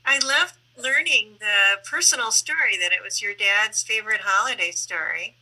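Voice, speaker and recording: A woman's voice: medium pitch, moderate pace, pushed and bright, poor audio. A woman speaking conversationally as an interviewer in a discussion.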